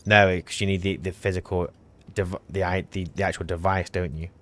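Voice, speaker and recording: Low-voiced guy streaming a game, chatty and teasing, talks through every step. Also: level tone, brisk, a bit bright. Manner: teasing, talkative, explains while playing. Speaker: co-host streamer. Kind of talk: casual live-stream chat.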